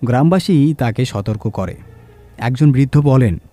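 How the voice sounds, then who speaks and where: Mellow male horror story narrator. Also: medium pitch, lively intonation, unhurried, no echo. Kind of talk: dramatic scripted horror narration.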